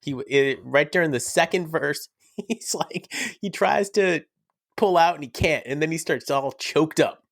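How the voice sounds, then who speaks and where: A man's voice: fairly high pitch, measured pace, vocal fry, no room sound, clean studio sound. A young man, sick, talking casually and enthusiastically as a podcast co-host, rambling and teasing his friend. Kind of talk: casual fan chat.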